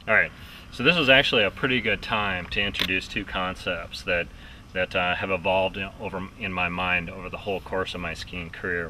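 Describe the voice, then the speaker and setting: A man's voice: deep voice, sharp tone. A man teaching water ski technique on a lakeside dock, explaining step by step as he shows the ski. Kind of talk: outdoor instructional demo. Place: outdoors on a dock by a lake. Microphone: distant camera mic. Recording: distant outdoor mic with light noise.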